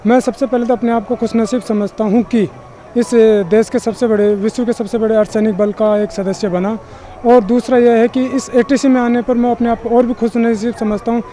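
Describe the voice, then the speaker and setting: A man's voice: very high-pitched, little pitch movement, brisk, mellow. A man from Jaipur, a constable recruit, speaking formally and fluently. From Jaipur, Rajasthan, India.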